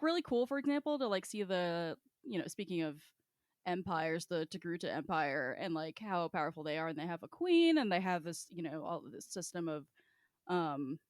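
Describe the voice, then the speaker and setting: A woman's voice: lowish voice, no echo, clean studio sound. A woman co-hosting a podcast in casual, informal chat, wandering through her sentences and swearing freely. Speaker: podcast host. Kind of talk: casual chat among co-hosts.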